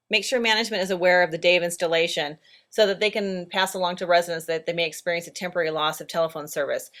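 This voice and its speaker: A woman's voice: on the low side, a bit bright. A woman narrating instructional training slides in a plain, practical, step-by-step way. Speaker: training presenter.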